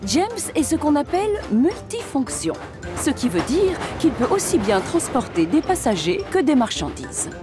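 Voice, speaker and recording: A woman narrating a children's cartoon story in an expressive, scripted storytelling style. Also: sits high, moderate pace. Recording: music under the narration.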